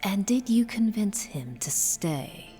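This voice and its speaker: A woman playing a cold, imperious cult leader in an audio drama, speaking in a measured, solemn, menacing way. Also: medium pitch, sing-song, mellow, slightly rough, exaggerated voice. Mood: stern, cold. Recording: long hall reverb. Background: eerie horror music.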